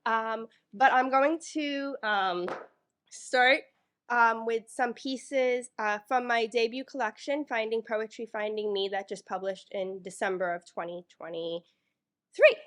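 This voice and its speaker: A woman's voice: a little high, fairly even intonation, takes it slowly, fairly bright tone. Nervous woman poet reading live. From New York, United States. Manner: a little nervous, many fillers between poems. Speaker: featured poet. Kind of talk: reading her own poetry live. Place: public library event room. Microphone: microphone in front of a live audience.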